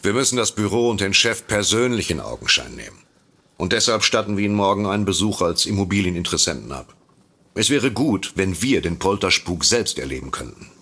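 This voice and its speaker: Casting: sarcastic, self-important detective for comic radio play; very low, forceful, raspy, grumpy. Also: male voice, expressive, a little fast, exaggerated voice. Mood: brisk, businesslike. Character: vain, grumpy, sardonic male detective and would-be writer. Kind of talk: comedic audio drama acting.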